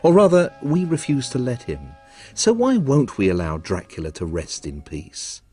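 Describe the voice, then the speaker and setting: A man narrating a radio arts documentary in a wry, measured storytelling manner. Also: mid-range voice, very animated, dark tone, soft background music.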